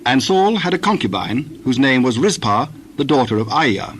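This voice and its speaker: A man narrating scripture from a script in a solemn, measured style, phrase by phrase. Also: medium pitch, sing-song, fairly bright tone, slight background noise.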